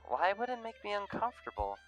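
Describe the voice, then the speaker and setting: A man's voice: sits high, wildly swooping pitch, fast, faint music behind, voice pushed up, poor audio. A man voicing a young male bird, easygoing, teasing and a little sheepish, in casual banter from a visual novel. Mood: gentle, reassuring. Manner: casual, bantering, stumbles when flustered. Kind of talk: visual novel character read-aloud.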